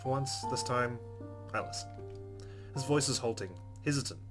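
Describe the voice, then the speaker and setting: A man doing a let's play, reading a game's narration aloud in an expressive, measured, dramatic way. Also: mid-range voice. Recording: game music under the voice.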